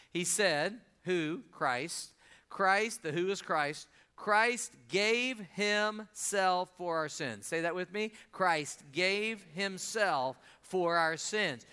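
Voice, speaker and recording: Man, fifties, pastor, call-and-response sermon, high voice, smooth tone. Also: bright, forceful tone. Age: 50s. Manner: call-and-response preaching, asks the congregation to answer.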